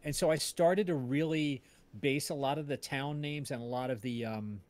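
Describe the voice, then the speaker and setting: A man from New Jersey with an American accent, chatting casually and haltingly as a host, rambling as he searches for words. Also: a little high, a little mellow. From New Jersey, United States. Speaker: podcast livestream host and casting director. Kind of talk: casual chat.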